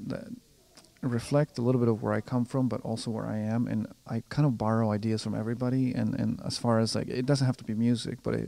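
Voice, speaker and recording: A man's voice: mid-range voice, creaky, warm tone. A young adult man from Colombia, a composer and pianist answering interview questions in a hesitant way, with many restarts and fillers. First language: Spanish.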